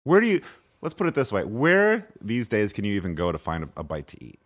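Low-voiced man with a lively, jokey delivery. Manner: jokey, fast bursts, bits and fake emails. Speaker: podcast co-host. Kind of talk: casual comedic chat, mock ad reads. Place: in-person studio recording.

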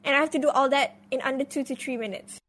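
A woman's voice: high-pitched, steady tone, fast, a bit bright. A young adult woman, a guest on a live stream, chatting casually and swearing as she tells a story.

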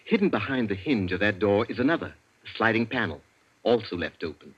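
Brief: sly, urbane captive inventor, husky and drawling, old lo-fi radio sound. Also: male voice, lowish voice, very animated. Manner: drawling, mocking, unhurried. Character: smug, urbane male inventor held captive. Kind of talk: 1940s radio acting. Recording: dull lo-fi vintage radio recording.

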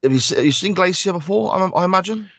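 A man hosting a casual podcast, a bit croaky and bunged up, chatty and quick to laugh. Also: high-pitched, expressive, fairly bright tone, no echo. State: croaky, congested. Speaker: podcast host. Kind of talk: casual chat.

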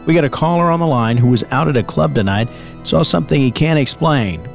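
An actor playing an earnest host of a late-night paranormal call-in radio show, measured and conspiratorial in manner. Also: male voice, a little high, vocal fry, faint music behind. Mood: inviting, attentive. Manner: measured, conspiratorial, theatrical sign-off.

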